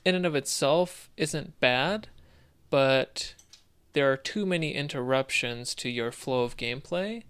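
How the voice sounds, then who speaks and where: A man's voice: mid-range voice, measured pace, a bit bright, clean tone. A man co-hosting a casual discussion of video games, giving his opinions in a hesitant, conversational way. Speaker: podcast co-host.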